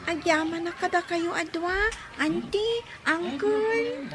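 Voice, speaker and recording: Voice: extremely high pitch, slow pace, crowd noise. An actor playing an affectionate young girl in an Ilocano radio drama, with music underneath. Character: affectionate young girl living with her uncle.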